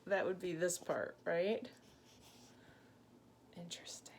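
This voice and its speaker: A woman teacher reading a picture book aloud expressively, pausing to ask young listeners questions and explain things. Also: on the low side, fairly even intonation, gravelly, a little hiss or hum.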